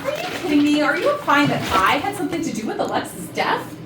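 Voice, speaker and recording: A woman's voice: sits high, huge pitch swings, fast talker. A woman playing a sarcastic, defensive HR manager suspected of murder in a staged comedy reading. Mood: indignant, defensive. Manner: sardonic, indignant. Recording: echoey, distant, noisy rehearsal recording.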